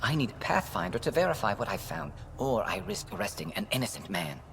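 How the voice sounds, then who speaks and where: A man's voice: lowish voice, sing-song, fairly quick, creaky, a bit bright, slight background noise, heavily acted. A man playing a wry, shrewd retired alien special-forces major in a video game, speaking with dry, frank irony. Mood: frank, intent. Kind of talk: video game character dialogue.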